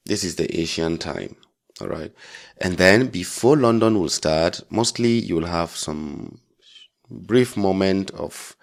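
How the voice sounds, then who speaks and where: A man with a low, creaky voice teaches in an informal tutorial style. He is blunt and emphatic, repeating key phrases to drive them home. He often tacks on short check-in tags as he explains. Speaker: trading instructor.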